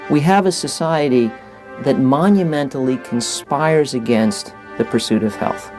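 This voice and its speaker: A man's voice: medium pitch, a little slow, a little mellow, faint music behind. A man giving an articulate public talk in a wry, wordy manner.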